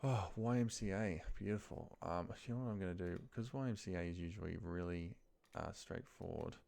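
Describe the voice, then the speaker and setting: A man's voice: sits low, a little fast, gravelly, darkish tone. A man giving a casual screen-share walkthrough, thinking aloud and muttering to himself in short phrases. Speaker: office trainer showing a data task. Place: indoors at a computer, screen recording.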